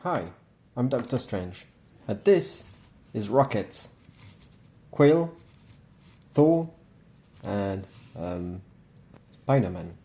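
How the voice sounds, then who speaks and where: A man voicing a polite, earnest sorcerer superhero in a homemade toy film, explaining haltingly. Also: lowish voice, big pitch swings, mellow, exaggerated voice.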